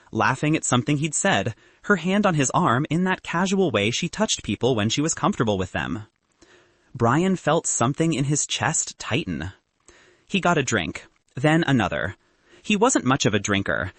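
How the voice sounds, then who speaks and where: A man's voice: mid-range voice, fairly bright tone, no echo. A man reading literary fiction aloud as a scripted audiobook narration.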